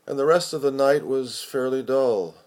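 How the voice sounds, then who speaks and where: A man giving an expressive poetry recitation with measured, deliberate phrasing. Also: mid-range voice. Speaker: poetry reader.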